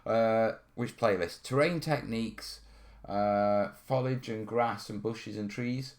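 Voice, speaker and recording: Low-voiced man, rambling and informal. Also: slow. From North Wales, UK. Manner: informal, rambling, often checking in with "yeah" and "you know". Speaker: host of a terrain-modelling hobby stream. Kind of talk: casual live question-and-answer chat. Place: studio.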